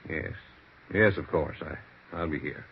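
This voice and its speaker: A man's voice: a bass voice, a little slow, vocal fry, heavily acted. A man playing a formal, skeptical family lawyer, businesslike and incredulous, in a 1940s radio mystery. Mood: wary. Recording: muffled, hissy 1940s radio recording.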